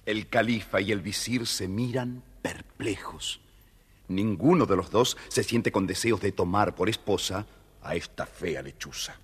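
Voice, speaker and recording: A man narrating a scripted children's story in a measured, expressive way. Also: fairly low pitch, breathy edge. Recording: reverberant studio story recording. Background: music.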